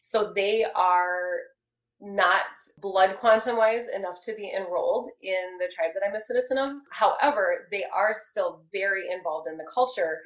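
A woman in her thirties speaking earnestly and measuredly in a casual discussion, pausing often with ums. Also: medium pitch, roomy sound, poor audio.

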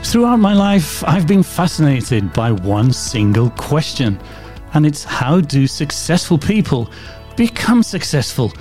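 A man's voice: a little high, big pitch swings, measured pace, a little mellow, faint music behind. A man hosting an interview podcast, reading an enthusiastic scripted introduction before chatting with his guest. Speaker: podcast host.

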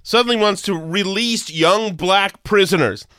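A man's voice: sits high, measured pace, pushed and bright, smooth, no echo, clean studio sound. A man with a head cold and a nasal voice, co-hosting a casual chat and ranting with sarcastic emphasis. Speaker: podcast co-host.

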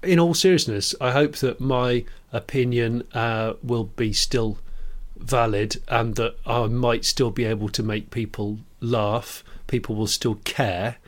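A man's voice: medium pitch, steady tone, measured pace, hardly any pauses. A man in his forties co-hosting a podcast, joking and self-deprecating, often tripping over his words. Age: 40s. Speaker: podcast co-host. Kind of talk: casual chat.

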